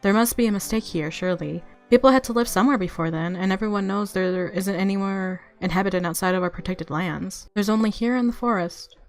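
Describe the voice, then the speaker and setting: A woman narrating a visual novel aloud as a game streamer, with expressive reactions and music underneath. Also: medium pitch, fairly quick, few pauses.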